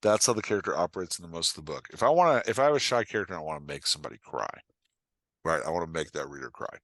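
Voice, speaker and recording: A man's voice: deep voice, animated, racing, fairly bright tone, no room sound. A man giving a casual interview as a guest writing coach, explaining craft emphatically and checking in with the listener as he goes.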